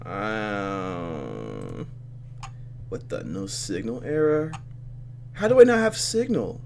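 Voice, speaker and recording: Animated man doing let's play commentary. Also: fairly low pitch, takes it slowly, vocal fry, background noise. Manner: expressive, dramatic reactions. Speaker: gaming video host. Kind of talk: let's play commentary, reading game text aloud. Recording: game music behind. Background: game music.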